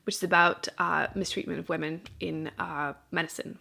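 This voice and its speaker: Creaky-voiced woman chatting casually about books. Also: on the low side, steady tone, normal speed, pushed and bright. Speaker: book vlogger and channel host.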